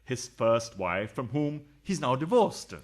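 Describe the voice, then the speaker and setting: A man's voice: medium pitch, big pitch swings, takes it slowly. A man playing a scheming, philandering householder in a farcical radio play, sarcastic and evasive. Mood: flustered, evasive. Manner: sarcastic, evasive, fumbling through excuses. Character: flustered, scheming philandering householder, sarcastic middle-class husband.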